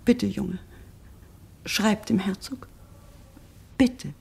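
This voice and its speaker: A woman's voice: medium pitch, very slow, gravelly, a little mellow, slightly rough, full character voice. An actress playing a worried middle-aged mother in a radio drama, pleading anxiously with her son. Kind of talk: 1950s-style radio drama acting.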